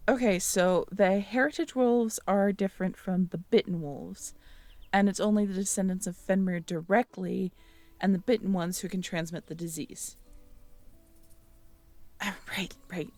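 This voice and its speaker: Slow soft-spoken woman reading notes. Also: medium pitch, no echo. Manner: musing aloud, reading notes, checking back with the listener. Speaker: audio roleplay performer. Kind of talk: intimate audio roleplay, talking to the listener.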